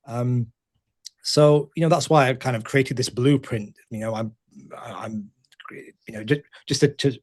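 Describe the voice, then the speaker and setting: A man gives a webinar presentation at a middle pitch. His voice stays monotone, and he talks haltingly, restarting and repeating words as he searches for the next phrase. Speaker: GP giving a webinar talk.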